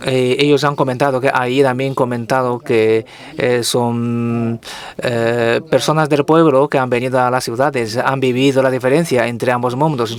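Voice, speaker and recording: A man interpreting for a guest author at a literary talk, careful and halting, often restarting his words. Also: medium pitch.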